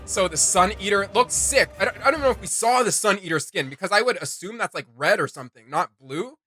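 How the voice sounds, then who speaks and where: A man's voice: high voice, lively intonation, quick pace, sharp tone. A man giving casual, enthusiastic reaction commentary as a co-host, slangy and exclamatory, with music behind him.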